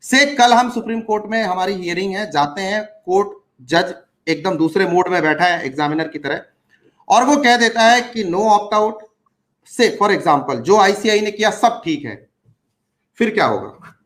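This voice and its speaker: A man with a high, hoarse voice speaks in a casual talk about exams. He sounds earnest and keeps asking pointed questions, then reassures. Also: animated, a little slow, a bit bright. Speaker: exam coaching teacher. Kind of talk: casual chat.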